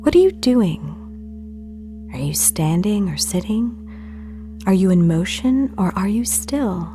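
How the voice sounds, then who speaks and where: A woman playing an apologetic podcast host who guides a meditation in a hesitant, probing, soft-spoken way. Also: medium pitch, very animated, slow, faint music behind. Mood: gentle, hesitant. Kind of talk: intimate guided meditation acting.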